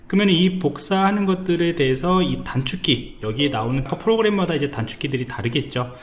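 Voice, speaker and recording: A man teaching a programming lesson over a screen recording, explaining step by step and often pausing with fillers. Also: a little high. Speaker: programming instructor. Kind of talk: screencast coding tutorial.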